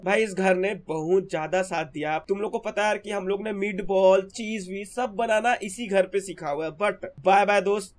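A hoarse young man with a sore throat, chatting casually as he narrates his gameplay to his viewers. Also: high-pitched. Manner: chatty, keeps calling viewers brother. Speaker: gaming channel host.

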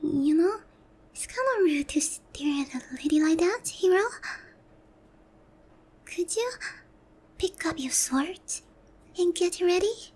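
Woman playing a very high-voiced, haughty demon lady. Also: lively intonation, darkish tone, heavily acted. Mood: teasing, smug. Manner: slow, theatrical, teasing. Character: haughty but lonely, easily flustered female demon lord. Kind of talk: roleplay ASMR acting.

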